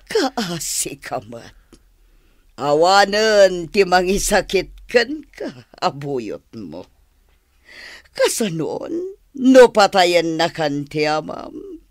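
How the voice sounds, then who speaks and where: An actress with a Filipino accent playing a cruel, mocking woman who gloats and taunts in drawn-out phrases in a radio drama. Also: fairly low pitch, very animated, no echo, studio quality, heavily acted. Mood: mocking, sadistic. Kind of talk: Philippine radio drama acting.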